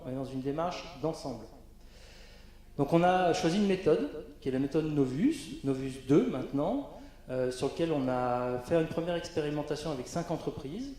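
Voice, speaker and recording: A man's voice: on the high side, mellow. A man presenting on a conference panel, hesitant, with frequent fillers and restarts. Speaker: panel speaker. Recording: very echoey conference hall.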